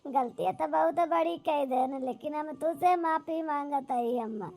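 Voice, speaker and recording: A woman's voice: high-pitched, gravelly, a little mellow. A woman voicing a guilt-stricken daughter in a cartoon melodrama, crying and wailing remorsefully with an Awadhi accent. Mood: tearful remorse. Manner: wailing, drawn-out lament.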